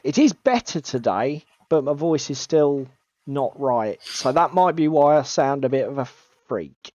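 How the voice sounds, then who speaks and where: A man talks casually as co-host, his voice gravelly and strained. He is chatty and self-deprecating and swears freely. The sound is dry and a little dull, like a lo-fi mic. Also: medium pitch, lively intonation, a little slow, a little mellow, dry recording. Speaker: co-host of a tabletop hobby stream. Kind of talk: casual chat.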